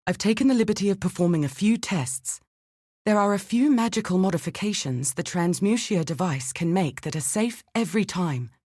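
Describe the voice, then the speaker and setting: Low-voiced, scholarly game heroine. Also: female voice, expressive, moderate pace, darkish tone, no echo, clean studio sound. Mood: brisk, practical. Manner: precise, formal, articulate, thoughtful. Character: bookish, earnest female archaeologist and scientist, measured and analytical. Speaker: video game character. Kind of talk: scripted video game dialogue.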